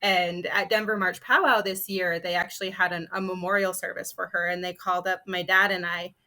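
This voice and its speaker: A woman's voice: on the low side, flat delivery, brisk, sharp tone. A woman telling personal stories in a panel discussion, in a casual, conversational way. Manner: casual, peppers talk with "like". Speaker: panelist, comic artist and illustrator.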